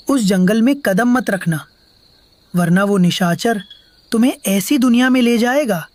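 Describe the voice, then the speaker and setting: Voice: mid-range voice, darkish tone, no room sound, lightly acted. A performer voicing a mysterious, ghostly old tea-stall man who gives ominous warnings in a horror cartoon. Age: older. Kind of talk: dramatic horror story dialogue.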